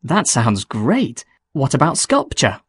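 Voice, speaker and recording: A man's voice: mid-range voice, wildly swooping pitch, slow pace, husky, no room sound, studio quality, full character voice. A man playing an interested friend asking questions in a scripted listening-test dialogue.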